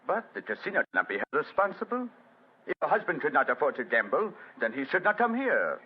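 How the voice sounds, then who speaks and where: An actor playing a suave, defensive French casino director, formal and protesting, in old radio style. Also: male voice, a little high, big pitch swings, fast, slightly rough. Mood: indignant protest. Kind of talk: 1940s radio acting. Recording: muffled old radio recording.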